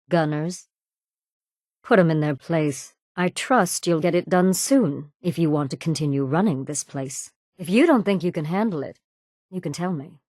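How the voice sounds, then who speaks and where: An actress playing a cold, menacing raider gang boss in a video game, with a cool, deliberate, sardonic delivery. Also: female voice, fairly low pitch, a little mellow, no room sound, studio quality, close to an everyday voice. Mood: menacing, disdainful. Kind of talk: video game character dialogue.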